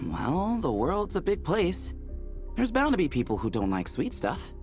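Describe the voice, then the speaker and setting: An actor playing a breezy, teasing young adventurer in a video game, casual and playful. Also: male voice, a little high, wildly swooping pitch, unhurried, a little mellow, soft background music, exaggerated voice. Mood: easygoing.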